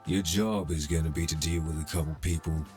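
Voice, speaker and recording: A man's voice: rumbling low, steady tone, fairly quick, a little mellow, soft background music. A man playing a cocky, sardonic criminal middleman in a cyberpunk ASMR roleplay, casual and streetwise, teasing as he talks. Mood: businesslike, streetwise.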